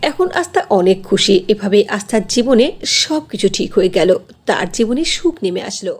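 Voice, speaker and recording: A woman's voice: medium pitch, expressive, a little fast, breathy edge. A woman narrating a scripted fairy tale as a storyteller.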